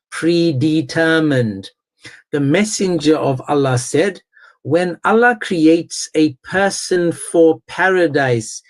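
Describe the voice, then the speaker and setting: A man's voice: on the high side, darkish tone. A man hosting a live online religious debate, speaking in an insistent, confrontational and emphatic way. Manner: insistent, confrontational, repeats pointed questions. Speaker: debate host.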